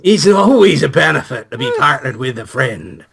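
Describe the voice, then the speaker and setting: A man's voice: medium pitch, sing-song, normal speed, vocal fry, a bit bright, husky, heavily acted. A man voicing a jolly yet menacing pirate cooking professor in a visual novel, with exaggerated pirate talk. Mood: playfully menacing. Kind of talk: hammy visual novel voice-over.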